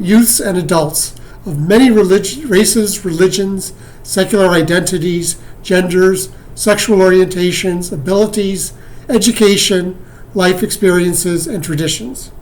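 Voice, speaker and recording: Man with a high-ish voice, reading church welcome and announcements. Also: normal speed, darkish tone, poor audio. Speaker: worship associate.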